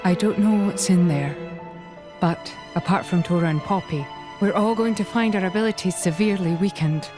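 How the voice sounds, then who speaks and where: A serious young fantasy companion, acted by a woman, with a slightly low voice. She sounds cautious and caring, over quiet music. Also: moderate pace, close to an everyday voice. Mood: concerned.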